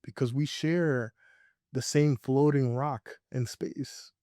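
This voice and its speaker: Earnest man with a flat, smooth voice. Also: medium pitch, takes it slowly, dry recording, clean studio sound. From Puerto Rico, raised in Connecticut. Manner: earnest, reflective, with frequent restarts. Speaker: guest filmmaker. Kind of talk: casual interview storytelling.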